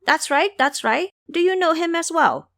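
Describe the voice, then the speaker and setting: Woman playing a young demon imp, gullible servant, male, high-pitched, excitable, earnest, lively intonation, audio drama. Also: normal speed, full character voice. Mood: chatty, proud. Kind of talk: audio drama character acting.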